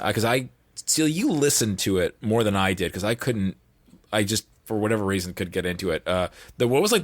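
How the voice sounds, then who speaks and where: A man with a fairly low voice chats casually as a podcast guest who produces audio drama. His delivery is halting, with phrases started over, and the sound is very dry. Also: no echo.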